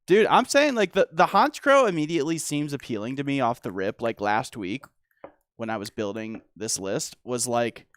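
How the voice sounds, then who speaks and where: A man, a guest player chatting casually while playing a card game, his voice going hoarse. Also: medium pitch, normal speed. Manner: relaxed, lots of "like" and "dude".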